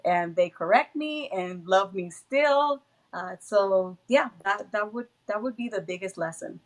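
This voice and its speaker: A woman's voice: mid-range voice, measured pace. A woman whose first language is Spanish, with a Spanish accent, talking casually as an interview guest, laughing often and restarting phrases as she searches for English words.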